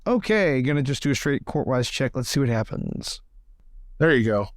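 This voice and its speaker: A man's voice: medium pitch, expressive, normal speed, no echo, studio quality. A man playing a tabletop game, chatting casually and thinking aloud with a joking manner.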